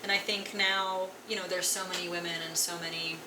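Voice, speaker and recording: A woman's voice: lowish voice, brisk. Woman, young adult, casual chat, loose rambling, roomy reverb, audible noise. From Colorado, USA. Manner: relaxed, filler-heavy, frequent "like". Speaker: guest musician. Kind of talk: casual interview conversation. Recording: echoey room, distant mic, noisy.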